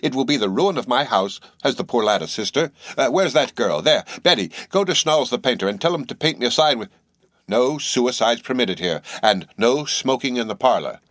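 A man voicing a bossy middle-aged innkeeper woman who scolds and gives orders briskly. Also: medium pitch, lively intonation, a bit bright, dry recording, clean studio sound, pitched above the performer's own voice. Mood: lamenting.

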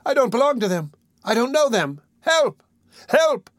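A man plays a young orphan boy in a storybook. The voice is pitched high and sounds frightened. He pleads and protests in alarm. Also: very animated, normal speed, no echo, clean studio sound. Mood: desperate.

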